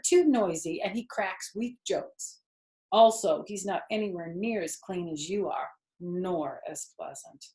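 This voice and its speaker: A woman's voice: medium pitch, darkish tone, reverberant, natural voice, poor audio. A woman voicing a wise, frank female spider, polite and precise, in a storybook reading.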